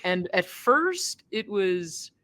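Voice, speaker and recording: Booming-voiced woman co-hosting a sports podcast. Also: extremely slow. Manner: lively storytelling, self-described booming voice. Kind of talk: casual sports podcast chat. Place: stadium press box.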